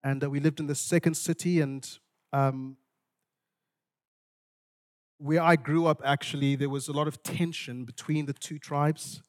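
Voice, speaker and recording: High-voiced man preaching. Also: steady tone. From Zimbabwe. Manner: dramatic, deliberate phrasing with repetition for emphasis. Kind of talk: expository sermon with storytelling.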